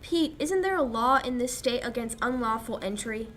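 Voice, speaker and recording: A young woman acting an anxious, sharp-tongued fiancée in a 1940s-style radio drama. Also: fairly high pitch, lively intonation, moderate pace. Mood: worried, scolding. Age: young adult. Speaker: high school actor. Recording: background noise.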